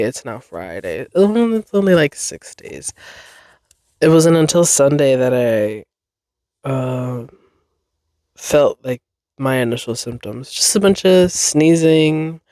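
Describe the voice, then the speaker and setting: A podcast host recovering from a cold, sounding a bit nasal and congested, rambling chattily in a basement with frequent laughs. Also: male voice, a little high, no room sound, studio quality. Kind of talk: casual solo podcast chat. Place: basement room.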